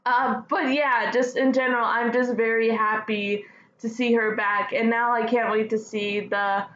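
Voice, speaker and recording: A woman hosting a fan news show solo, chatting casually with gushing, excitable enthusiasm. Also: on the high side, measured pace. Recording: dull lo-fi sound, roomy echo, faint noise.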